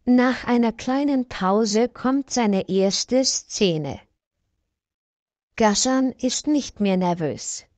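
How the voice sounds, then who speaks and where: Slow, clear woman narrator. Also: mid-range voice, a little mellow, no echo. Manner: slow, clear, evenly paced reading. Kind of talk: slow scripted story reading for learners.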